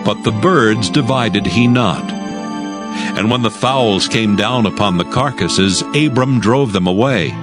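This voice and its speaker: A man narrating scripture from a script in a solemn, measured manner. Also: on the low side, loud music behind the voice.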